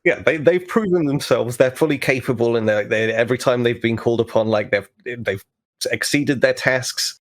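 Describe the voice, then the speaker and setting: A man's voice: medium pitch, normal speed. A man talking casually as a podcast player, bouncing ideas around in conversation. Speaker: tabletop role-play podcast player. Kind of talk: casual brainstorming chat.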